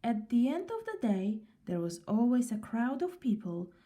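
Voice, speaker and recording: A woman reading a children's story aloud in an unhurried, expressive way. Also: on the high side.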